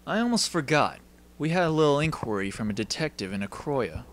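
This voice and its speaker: A man's voice: fairly high pitch, very animated, brisk, a little hiss or hum. A man voicing a smug, commanding military officer with a theatrical, self-assured anime dub delivery. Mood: cool, sly.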